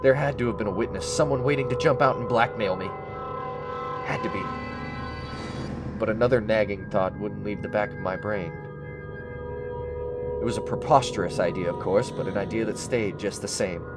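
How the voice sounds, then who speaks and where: A man's voice: lowish voice, moderate pace, long pauses, loud music behind the voice, lightly acted. Man playing a paranoid, frantic radio-thriller schemer. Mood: haunted, frantic. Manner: dramatic, nervous, suspense-laden delivery. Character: paranoid guilty male schemer confessing his crime, increasingly frantic. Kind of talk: 1940s-style radio thriller monologue.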